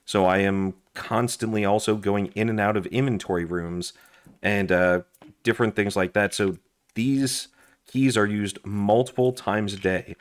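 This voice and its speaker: A man who is hoarse and losing his voice, chatting casually with lots of fillers while he reviews his gear at a desk indoors. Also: on the low side, fairly even intonation, a little slow. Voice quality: raspy. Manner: chatty, rambling, with frequent fillers and restarts. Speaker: gear review channel host. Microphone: overhead camera on desk, hands only.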